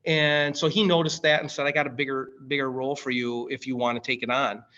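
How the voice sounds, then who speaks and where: Bright-voiced American man in his forties. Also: mid-range voice, little pitch movement, a little fast, highs cut off. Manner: colloquial, familiar, calls listener man and brother. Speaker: podcast guest, firefighter and coffee company director. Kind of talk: casual conversational storytelling.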